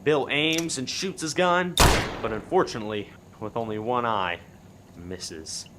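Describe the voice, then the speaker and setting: A man narrating a scripted comic murder mystery as animated storytelling, with noise in the background. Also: mid-range voice, a little slow, lightly acted. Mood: dry storytelling. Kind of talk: scripted radio play narration.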